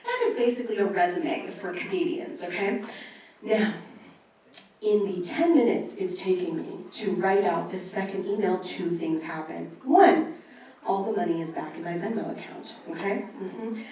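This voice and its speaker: A woman's voice: on the low side, expressive, normal speed, dark tone, a little hiss or hum. A woman in her thirties performing a brash, casual stand-up set on a club stage, telling stories animatedly and acting out exchanges, with some swearing. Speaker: stand-up comedian. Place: live comedy club stage. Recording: roomy echoing club sound, distant.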